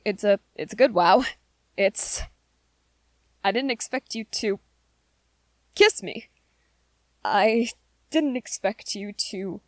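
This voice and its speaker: A woman voicing a shy, earnest, lovestruck young male werewolf in an ASMR romance roleplay, with halting, hesitant, soft-spoken delivery. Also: mid-range voice, dry recording. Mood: flustered, stunned.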